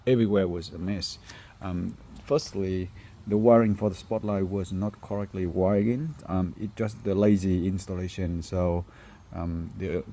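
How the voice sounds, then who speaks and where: Deep-voiced man, halting non-native English, mellow. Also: fairly even intonation. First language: not English. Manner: halting, hesitant phrasing. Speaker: car installer presenting finished work. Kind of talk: informal workshop walkthrough video.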